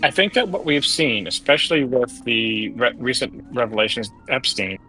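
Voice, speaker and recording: A man's voice: medium pitch, lively intonation, normal speed, pushed and bright, breathy edge, low-quality recording. A man in a live debate who argues earnestly with frequent stammering restarts. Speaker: debate participant.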